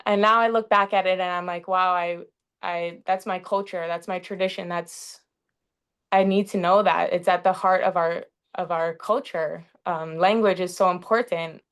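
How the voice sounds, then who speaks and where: A young woman from Hawaii speaking earnestly in a panel talk, often pausing with "um" between thoughts. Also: lowish voice, steady tone, clear, resonant tone. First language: English. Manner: earnest, frequent "um" fillers. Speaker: panel facilitator.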